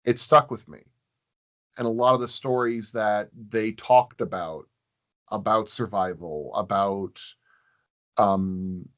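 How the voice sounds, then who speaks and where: A man with a fairly low voice talks very slowly in a casual interview. He pauses and thinks aloud as he goes, and the recording is dull and lo-fi. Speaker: podcast guest, sound designer.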